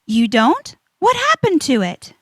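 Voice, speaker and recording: A woman playing an earnest young adventurer girl in a children's audio drama, instructive and deliberate. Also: high-pitched, huge pitch swings, fairly bright tone, no room sound, clean studio sound, heavily acted. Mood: excited.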